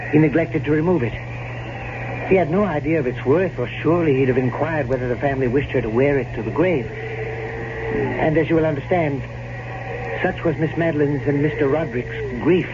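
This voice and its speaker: A man's voice: a little high, moderate pace, natural voice. Man as solemn old servant, muffled recording. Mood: reluctant, grave. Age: older. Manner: formal, solemn, pleading. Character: old, loyal, foreboding male family servant. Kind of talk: old-time radio horror drama acting. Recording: noisy, muffled old radio drama recording.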